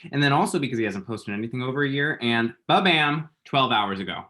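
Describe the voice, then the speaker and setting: A man's voice: mid-range voice, animated, brisk, sharp tone, a little hiss or hum. A young man, played by an actor at a table read, who is lovesick and proudly messy about it. He banters in a campy, self-mocking way, in a conversational comedy style. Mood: breezy banter. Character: Eli, lovelorn self-mocking young man, dramatic best friend. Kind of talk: conversational comedy screenplay reading.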